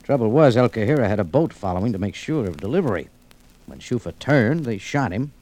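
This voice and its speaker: An actor playing a tough, wry adventurer in an old radio detective drama, with punchy hardboiled delivery. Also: male voice, on the low side, very animated, brisk, a little mellow. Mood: wry, matter-of-fact. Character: tough, wry male adventurer narrating his exploits. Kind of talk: 1940s-50s radio detective drama acting. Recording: slightly noisy old radio recording.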